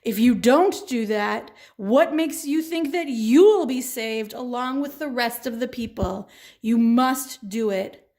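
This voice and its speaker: Woman playing a high-voiced, insistent puppet uncle. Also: takes it slowly, darkish tone. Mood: urgent, insistent. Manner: earnest, insistent. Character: firm, devout uncle counselling his niece. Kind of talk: children's puppet show storytelling.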